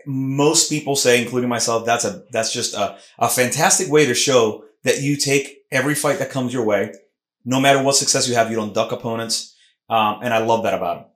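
A man's voice: medium pitch, a bit bright. A man hosting a casual sports podcast, talking emphatically with lots of restarts. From Puerto Rican heritage. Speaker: podcast host. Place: Philadelphia podcast studio room. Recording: roomy echo.

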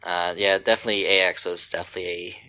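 A man from the US East Coast co-hosting a podcast in relaxed, casual conversation. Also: low voice, quick pace, clear, resonant tone. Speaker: podcast co-host. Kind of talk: casual chat.

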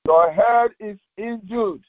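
A man preaching as a pastor, reading scripture aloud in a solemn, deliberate way with short halting phrases, over a narrow phone-like recording. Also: sits high, no room sound. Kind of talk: devotional sermon with slow scripture reading aloud. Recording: tinny, phone-like narrowband audio.

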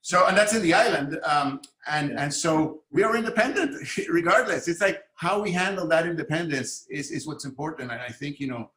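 Man from puerto rico chatting on a podcast, bright tense voice, echoey room. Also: fairly high pitch, brisk, few pauses. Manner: animated, thoughtful, many restarts. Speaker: guest, arts and Spanish teacher. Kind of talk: casual podcast conversation.

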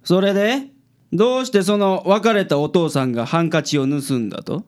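Man as a gruff Kansai private detective. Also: sits high, normal speed, lightly acted. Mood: confident. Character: gruff, self-important middle-aged male private detective. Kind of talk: radio drama acting.

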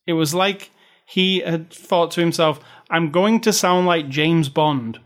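A man's voice: on the high side, takes it slowly. Wry British man critiquing films. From Britain. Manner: opinionated, wry, animated complaints. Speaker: podcast co-host. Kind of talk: casual film review chat.

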